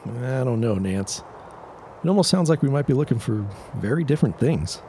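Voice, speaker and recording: A man's voice: medium pitch, lively intonation, normal speed, dark tone, a little hiss or hum, close to an everyday voice. A man playing an earnest young suitor in a period audio drama. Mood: earnest, questioning. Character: earnest young suitor, would-be policeman in 1917.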